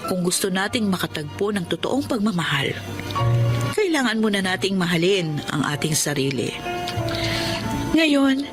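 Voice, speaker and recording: An actress plays a remorseful mother trying to rebuild her life, earnest and heartfelt. She speaks at a middle pitch in an emotional audio drama, with music clearly playing behind her. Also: big pitch swings, normal speed, pauses often, natural voice. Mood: reflective. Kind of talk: emotional Filipino radio-style drama acting and narration.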